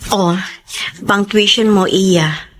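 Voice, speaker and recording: A woman's voice: mid-range voice, takes it slowly, fairly bright tone. A woman playing a weary, long-suffering older sister and breadwinner in a Tagalog radio drama, with a heavy emotional delivery. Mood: gentle, reconciling.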